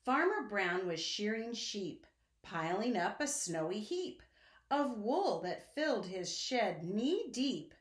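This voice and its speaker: A woman reads a children's story in a lively, swooping, sing-song way. She takes her time and speaks directly to young listeners, in an echoey room. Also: medium pitch, slow. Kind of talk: children's picture-book read-aloud. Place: indoor room at home.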